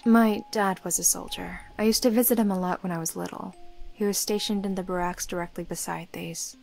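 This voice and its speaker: A woman's voice: fairly low pitch, steady tone, brisk, vocal fry. A woman voicing a practical, reserved young necromancer in a visual novel, understated and matter-of-fact. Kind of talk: visual novel character dialogue.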